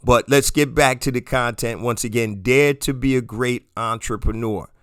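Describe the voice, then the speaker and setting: A man with a hoarse voice hosting a solo podcast, speaking straight to his listeners in a motivational, promotional way. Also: mid-range voice, steady tone, moderate pace, no room sound, clean studio sound. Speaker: podcast host.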